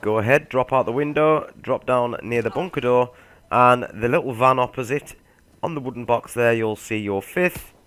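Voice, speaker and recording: A man's voice: medium pitch, normal speed, fairly bright tone, clean tone. A man hosting a gaming video, giving a laid-back walkthrough voice-over and speaking straight to his viewers. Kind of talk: casual game walkthrough voice-over.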